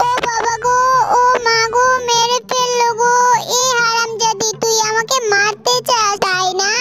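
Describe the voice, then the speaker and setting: A woman's voice: very high voice, flat delivery, measured pace, over loud music. A woman voicing a cruel fox stepmother in a cartoon story, nagging shrilly with taunting questions. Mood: furious.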